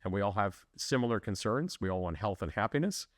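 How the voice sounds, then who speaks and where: Man, council candidate, earnest and emphatic, lowish voice, dry close sound. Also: expressive, brisk, no echo. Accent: Canadian English. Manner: earnest, restarts and fillers, emphatic. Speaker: municipal election candidate. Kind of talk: interview answers, conversational.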